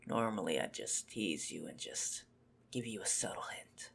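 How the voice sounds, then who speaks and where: A man playing a teasing, menacing, possessive vampire in an ASMR roleplay, mocking and condescending, with a husky, breathy, gravelly voice that often drops to a whisper. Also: on the low side, fairly bright tone, full character voice.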